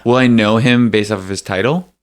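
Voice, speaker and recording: A man with a fairly low voice co-hosts a laid-back podcast. He mostly listens and reacts with short, joking comments. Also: fairly even intonation, clear, resonant tone. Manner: joking, quick reactive quips. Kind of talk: casual podcast banter.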